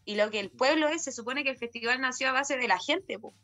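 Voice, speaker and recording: A woman's voice: sits high, brisk, pushed and bright, faint music behind. A woman with a Chilean accent, a guest singer chatting casually in slangy speech and tagging her sentences with a question. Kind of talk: casual conversation.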